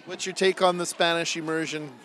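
A man's voice: on the high side, flat delivery, brisk, fairly bright tone. A middle-aged man doing a casual, inquisitive radio interview out in the field. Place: on location at a school event. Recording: field recording with faint ambient noise.

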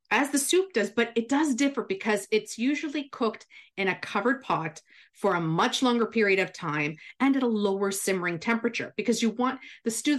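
A woman guest giving cooking advice in a chatty, instructive radio style, often checking in with "right?". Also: medium pitch. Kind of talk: explanatory radio chat.

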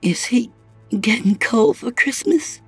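A man's voice: extremely high pitch, animated, slow, fairly bright tone, slightly rough, dry recording, faint music behind, pitched above the performer's own voice, heavily acted. A man voicing a frightened ten-year-old boy who pleads, then asks eager questions. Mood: curious. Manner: tearful, pleading, then stern. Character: scared young boy, about ten.

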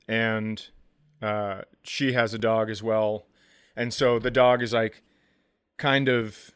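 A man with a medium-pitched voice tells casual comic stories as a podcast co-host. He is crude and swears freely, ranting with exasperation. The sound is very dry, with little room on it. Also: fairly bright tone, clear, resonant tone, dry recording. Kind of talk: casual comedic storytelling.

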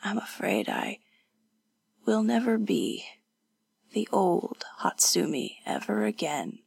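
A woman voicing a battered, distressed young woman in a hoarse, faint, halting whisper for an audiobook. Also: mid-range voice, sing-song, a little mellow, dry recording, full character voice. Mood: sorrowful. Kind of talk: audiobook character voice.

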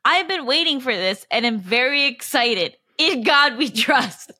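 Comedy reading: eager, over-polite traveler acted by a woman, high, bright, drawn-out, amusement leaking through. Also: expressive, exaggerated voice. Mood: cheerfully formal. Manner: overly polite, drawn-out, barely suppressing amusement. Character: mock-earnest scam baiter posing as eager traveler.

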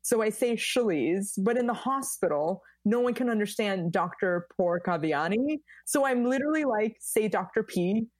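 Energetic woman guest on a podcast, telling stories all excited, mid pitch, dry close mic. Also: moderate pace, hardly any pauses, no echo. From United States. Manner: high-energy, animated storyteller. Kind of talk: casual podcast chat.

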